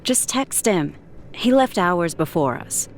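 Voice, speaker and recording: A woman's voice: lowish voice, lively intonation, slow pace, a little hiss or hum. A woman voicing a curt, skeptical woman who is exasperated with her friend, in an audiobook. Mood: irritated.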